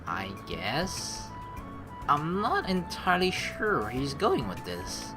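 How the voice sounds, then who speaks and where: A woman's voice: sits low, wildly swooping pitch, takes it slowly. A woman doing a playful let's play, reading game narration aloud and adding teasing, jokey asides. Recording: music behind the voice. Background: game music.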